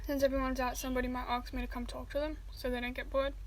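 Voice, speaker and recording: A woman with a high voice reads fan fiction aloud at home and drifts into rambling chat full of fillers. She talks while eating. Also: flat delivery, fast talker, poor audio.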